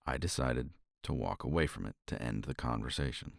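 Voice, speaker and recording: A man's voice: a little fast, no room sound. A man speaking tenderly and reassuringly to a partner in a romantic ASMR roleplay, close to the mic. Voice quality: very deep and gravelly. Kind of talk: romantic ASMR roleplay, intimate soft talk.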